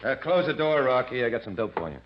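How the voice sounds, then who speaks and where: An actor playing a cagey, self-assured gang boss in the style of 1940s radio crime drama. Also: male voice, medium pitch, sing-song, quick pace, noisy background, natural voice. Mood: confident, scheming. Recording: dull, lo-fi old radio recording.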